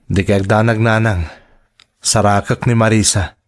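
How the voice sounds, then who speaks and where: A man's voice: fairly low pitch, fairly even intonation, unhurried, close to an everyday voice. A man playing a troubled grown son seeking the truth about his sweetheart, earnest and dramatic, in a radio drama. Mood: troubled, resolute. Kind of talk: radio drama dialogue and inner monologue.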